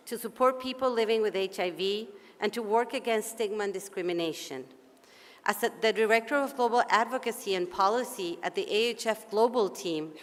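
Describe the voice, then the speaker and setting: A woman with an Argentine Spanish accent moderates a conference session, speaking formal English at a measured, deliberate pace. Her voice sits at an ordinary middle pitch. The sound carries the long reverb of a large hall.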